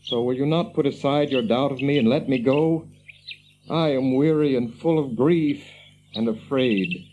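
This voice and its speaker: Man playing an earnest, mellow-voiced hobbit. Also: mid-range voice, unhurried, a little hiss or hum, lightly acted. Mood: grieving, weary. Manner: earnest, formal, old-fashioned phrasing. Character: Frodo, earnest, weary hobbit on a perilous quest. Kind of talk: radio fantasy drama acting. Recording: dull lo-fi audio with birdsong behind.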